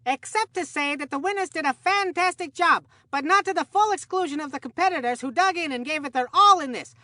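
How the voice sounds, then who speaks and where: Chipper, goofy kid baseball announcer for a cartoon game; high voice, folksy mock-serious earnestness, close dry sound. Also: female voice, fairly quick, pushed and bright, no room sound. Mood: upbeat, earnest. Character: excitable, naive boy baseball commentator, chipper and goofy. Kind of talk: cartoon sports game commentary.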